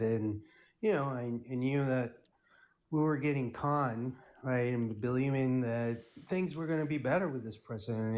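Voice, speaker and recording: A man from Mexico City calling in, speaking calmly and reflectively, often adding "right?". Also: mid-range voice. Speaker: caller. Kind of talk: casual call-in conversation.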